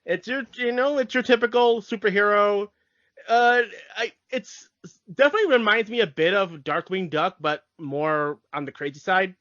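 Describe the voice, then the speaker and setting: Male podcast co-host, notably high voice, informal explanatory delivery, slightly dull recording. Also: moderate pace, a bit bright. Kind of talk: casual explanatory chat. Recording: dull lo-fi audio.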